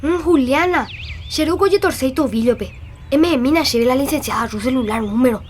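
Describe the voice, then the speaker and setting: A woman's voice: sits high, fairly quick, a little hiss or hum, close to an everyday voice. An actress playing Rosa, a talkative neighbor woman in a radio drama. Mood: chatty.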